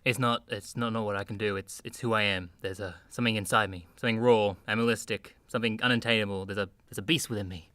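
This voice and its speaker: An actor playing a jittery, tormented man, halting and melodramatic, in a comedy radio play. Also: on the low side, fairly even intonation, sharp tone, no room sound. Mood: brooding, anguished. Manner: halting, repetitive, brooding and melodramatic.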